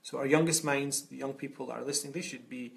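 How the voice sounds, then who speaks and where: A man's voice: mid-range voice, steady tone, fast talker, creaky. A man, an Islamic scholar speaking earnestly from home over a video call, often repeating words as he restarts. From Scotland. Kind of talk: reflective talk on a video call. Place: home room indoors. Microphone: webcam on a video call.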